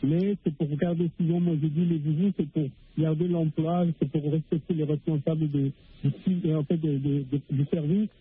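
A man from Africa calling in to a radio discussion, speaking haltingly with many restarts and fillers over a phone line. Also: fairly high pitch, steady tone. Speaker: radio caller. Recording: tinny phone line with faint noise.

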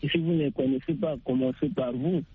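Husky male caller, hesitant, on a tinny phone line. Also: mid-range voice, steady tone, a little fast. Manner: hesitant, repeats words. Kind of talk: phone-in call.

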